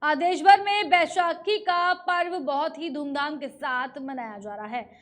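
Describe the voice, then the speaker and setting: A woman's voice: high voice, measured pace, soft background music. A woman with an Indian accent presenting scripted news stories as a narrator.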